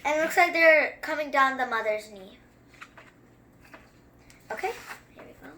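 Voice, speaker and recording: A young-sounding story reader who reads a picture book aloud and chats through it with expressive, wandering asides. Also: sits high, unhurried, slight background noise. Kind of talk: children's story time read-aloud with excited running commentary.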